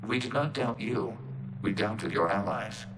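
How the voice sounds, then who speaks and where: An actor playing a calm, logical robot with a collective mind, speaking formally through synthetic processing in a video game cutscene. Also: male voice, lowish voice, background noise. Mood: measured, resolute. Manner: formal, measured, detached, speaks of itself as "we".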